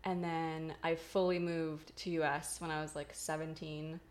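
Young adult woman guest, fairly low voice, rambling casual chat full of fillers. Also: level tone, unhurried. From Ukraine. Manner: chatty, filler-heavy storytelling with frequent likes.

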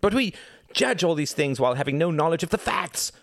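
Casting: male narrator as condescending young intellectual in an audiobook, argumentative, raised pitch, very lively swings, dry close sound. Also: fairly quick, no echo. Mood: heated, haughty. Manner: emphatic, rhetorical, condescending, lecturing. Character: argumentative young son, haughty intellectual defending communism. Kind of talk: dramatised audiobook reading.

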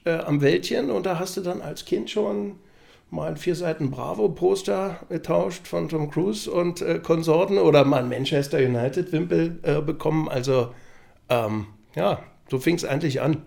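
A man's voice: fairly high pitch, moderate pace, vocal fry, darkish tone. A man with a Berlin accent chatting casually as a podcast guest, reminiscing in colloquial speech. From Berlin, Germany. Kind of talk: casual chat.

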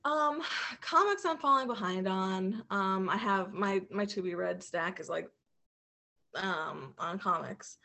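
A woman co-hosting a podcast, chatting casually and haltingly as she thinks aloud. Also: medium pitch, vocal fry, sharp tone. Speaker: podcast co-host. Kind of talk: casual chat about shows and comics.

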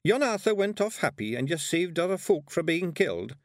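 A man's voice: fairly high pitch, big pitch swings, moderate pace, no echo, clean studio sound, voice pushed up. A man voicing a tiny, fierce, loyal fairy man with a broad Scottish accent and blunt dialect speech. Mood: reassuring.

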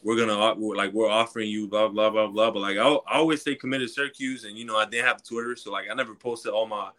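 Young man, bright tone, lowish pitch, casual interview guest. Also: quick pace. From Quebec, Canada. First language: French. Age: 20s. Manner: relaxed, many fillers like "you know". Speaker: guest, college football player.

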